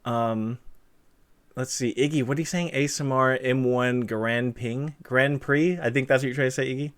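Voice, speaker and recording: A man's voice: mid-range voice, fairly even intonation, normal speed, a bit bright. A young man hosting a live stream, chatting casually with a guest and reading out viewer comments in a rambling, easygoing way. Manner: chatty, rambling, many fillers and restarts. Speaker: streamer host interviewing a guest.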